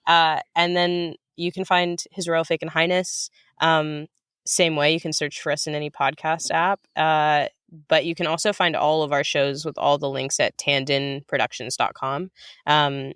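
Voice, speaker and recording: A woman's voice: low-pitched, flat delivery, moderate pace, a bit bright, smooth, no room sound, studio quality. A woman chatting casually as a podcast guest, playful and wry in how she talks. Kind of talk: casual chat.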